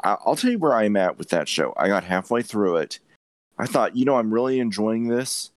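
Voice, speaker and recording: Low-voiced man with swooping, animated pitch. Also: moderate pace, no room sound. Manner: emphatic, opinionated, swears casually. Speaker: podcast host. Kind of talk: casual film discussion.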